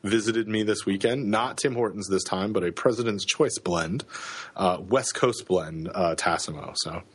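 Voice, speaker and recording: A man co-hosting a podcast in casual chat, halting with many fillers and restarts, sniffling from a cold, close to the microphone. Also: fairly low pitch, fairly bright tone, husky. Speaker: podcast co-host. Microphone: close studio microphone.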